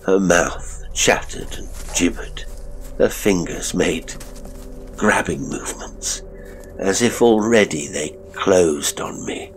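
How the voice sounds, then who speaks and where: Male horror narrator, very low register, grave and suspenseful delivery, faint ambient noise under the read. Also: poor audio. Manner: suspenseful, deliberate phrasing, grave and theatrical. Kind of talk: dramatic audiobook narration of classic horror.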